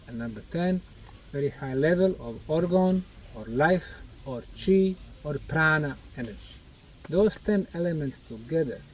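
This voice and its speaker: A man giving an emphatic, didactic explanatory talk to volunteers in short, deliberate phrases. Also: fairly high pitch, a little mellow. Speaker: research project leader addressing student volunteers. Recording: noisy outdoor recording, distant microphone.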